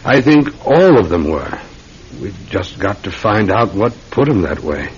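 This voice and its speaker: An actor playing a polite, methodical London police detective with a British accent, deferential and musing in an old-time radio drama. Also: male voice, low voice, very animated, measured pace, a little hiss or hum. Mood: earnest, theorising. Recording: dull, muffled classic radio recording.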